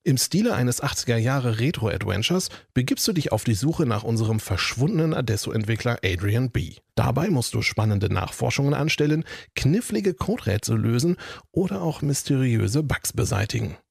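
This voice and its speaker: Lively gravelly man reading an ad. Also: mid-range voice, normal speed, dry recording, clean studio sound. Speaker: ad announcer. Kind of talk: read sponsor advertisement.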